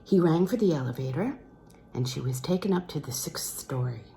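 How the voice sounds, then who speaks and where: A woman narrates literary fiction in a fairly low voice. Her intonation rises and falls widely as she reads, and there is audible noise in the recording. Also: animated, moderate pace, mellow. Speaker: audiobook reader. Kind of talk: expressive literary read-aloud of a novel.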